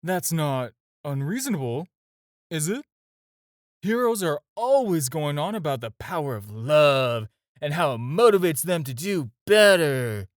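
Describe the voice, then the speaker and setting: Man acting a smooth supervillain, flirtatious, casual teasing delivery, swooping intonation, close dry sound, audio roleplay. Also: on the high side, very animated, measured pace, no echo. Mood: teasing, earnest. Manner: teasing, casual, conversational with hesitations. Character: flirty, smooth, self-assured male supervillain courting his tailor. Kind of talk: scripted audio roleplay addressed to the listener.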